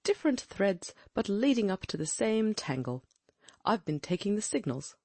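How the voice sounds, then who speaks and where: A woman voicing a keen, commanding male detective with a brisk, inquisitive, exclamatory manner in an audiobook. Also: medium pitch, very animated, warm tone, dry recording.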